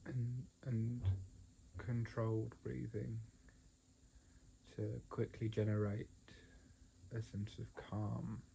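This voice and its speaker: A man teaching a guided meditation in a gentle, halting way with long pauses. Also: fairly low pitch, vocal fry, a little hiss or hum, poor audio.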